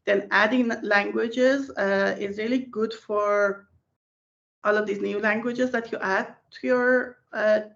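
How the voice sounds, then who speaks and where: A woman's voice: medium pitch, fairly even intonation, slow pace, creaky, a bit bright, muffled recording. A woman whose first language is Persian, a research scientist answering interview questions in a thoughtful way with many fillers and restarts, heard over a video call.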